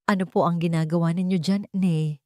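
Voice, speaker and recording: A woman's voice: on the low side, fairly even intonation, fairly quick, dry recording, clean studio sound, close to an everyday voice. A woman playing a fiery, exasperated young wife. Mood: irritated. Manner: sharp, exasperated, shouting. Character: angry young wife, impatient and scheming. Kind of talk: storybook narration with acted dialogue.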